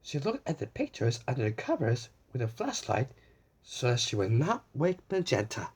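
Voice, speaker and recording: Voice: mid-range voice, very animated, moderate pace, darkish tone, husky. A person reading a picture book aloud to young children, stumbling and repeating words now and then, with exaggerated wonder. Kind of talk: children's read-aloud, addressing young viewers with questions.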